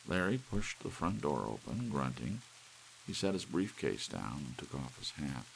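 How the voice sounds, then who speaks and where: A man with an extremely deep, gravelly voice narrates fiction in a soothing ASMR audiobook style. His pitch moves expressively, and soft rain hisses steadily behind him. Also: big pitch swings, normal speed, poor audio.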